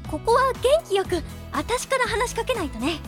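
A woman playing an excitable, self-mocking teenage schoolgirl who narrates her own flustered thoughts in a radio drama. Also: extremely high pitch, faint music behind, exaggerated voice. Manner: flustered inner monologue, quick swings. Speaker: narrator and lead character.

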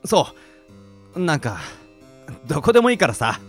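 Man playing an anxious, high-voiced young man. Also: very animated, moderate pace, soft background music. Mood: casual, eager. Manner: hesitant, faltering, casual. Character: evasive, anxious young man pleading for his friends. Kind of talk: Japanese audio drama acting.

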